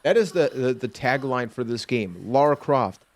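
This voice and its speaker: A man doing live let's play commentary as a gaming streamer, chatting casually with animated, exclamatory reactions. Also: medium pitch, normal speed, no echo. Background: faint game music and sounds.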